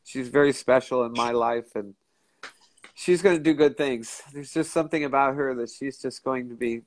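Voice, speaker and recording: An older man with a stuffy nose from a cold, hosting a casual video call chat, talking in a rambling, halting way with many restarts. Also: medium pitch, low-quality recording. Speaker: show host. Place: indoor room at home.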